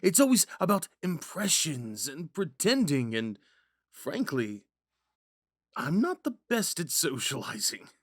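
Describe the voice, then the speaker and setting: Casting: male actor as shy, smitten young prince, gentle and hesitant, lightish pitch, animated intonation, intimate dry ASMR sound. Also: measured pace, no room sound, full character voice. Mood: thoughtful. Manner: soft-spoken, hesitant, stammering when flustered. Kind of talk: ASMR roleplay, scripted monologue to a listener.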